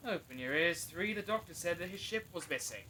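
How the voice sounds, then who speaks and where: A man's voice: a little high, lively intonation, brisk, sharp tone, slight background noise. A man playing a snappy, scornful captive in an audio drama, speaking curtly. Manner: curt, scornful. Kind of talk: fan audio drama acting.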